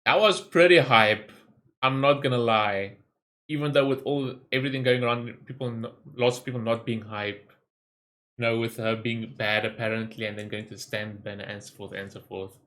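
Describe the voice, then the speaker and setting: A man giving casual, excitable and rambling reaction commentary as host of a gaming video. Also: medium pitch, normal speed.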